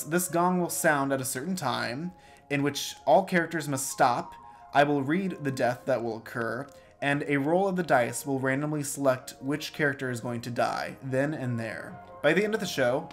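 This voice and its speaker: A man hosting and introducing an improvised radio play as its stage manager. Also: medium pitch.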